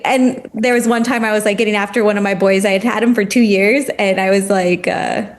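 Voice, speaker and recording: A woman's voice: mid-range voice, brisk, a little hiss or hum. A woman who is a former teacher, speaking passionately and with animation as a podcast guest, using "like" often. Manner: passionate, animated, heavy use of "like". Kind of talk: casual podcast conversation.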